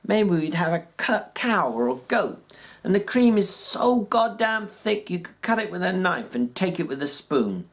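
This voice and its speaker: A reader doing a weathered ranch hand who talks in a folksy rural drawl, his voice pushed noticeably higher than the narration. He rambles along in plain country words with small stumbles and fillers. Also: big pitch swings, unhurried. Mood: wistful. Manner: raised pitch, stumbles and fillers. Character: plainspoken ranch worker man dreaming aloud. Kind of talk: audiobook reading.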